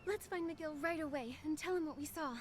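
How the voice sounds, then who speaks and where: A woman playing an earnest, gentle young heroine in a fantasy video game, speaking in a measured, sincere way. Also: sits high, crowd noise, natural voice. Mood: determined. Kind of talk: scripted video game dialogue.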